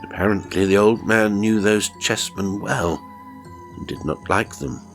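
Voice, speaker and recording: A man's voice: fairly low pitch, steady tone, unhurried. A man reading a first-person horror story as scripted narration, dramatic and suspenseful in his delivery. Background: music.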